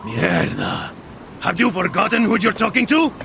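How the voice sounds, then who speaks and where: An actor playing a sardonic, greedy mercenary rogue with a Spanish accent in a video game, flippant and wisecracking. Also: male voice, sits high, wildly swooping pitch, normal speed, creaky, background noise, heavily acted. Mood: griping. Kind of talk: dramatic video game acting.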